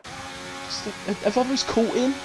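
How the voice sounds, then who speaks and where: A man's voice: very high voice, steady tone, slow pace, over music, rough recording. A man with a chest infection giving informal live sim racing commentary and casual chat as the host, in short running phrases.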